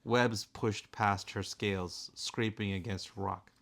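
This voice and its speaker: A man's voice: lowish voice, steady tone, slow, fairly bright tone. A man reading a children's fantasy storybook aloud as narrator. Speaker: father reading a bedtime story.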